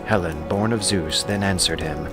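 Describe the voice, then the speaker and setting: A man's voice: low-pitched, moderate pace, over music. A man narrating an epic tale in a scripted storytelling style.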